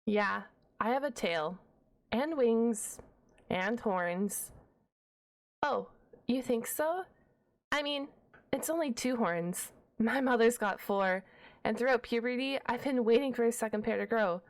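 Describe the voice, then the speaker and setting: High voiced nerdy dragon girl librarian a woman acting it out, shy n flustered, stammers, wordy, asmr roleplay. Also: a little slow, long pauses. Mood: sheepish. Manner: stammering, bookish, wordy, awkwardly defensive. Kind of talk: ASMR roleplay audio drama.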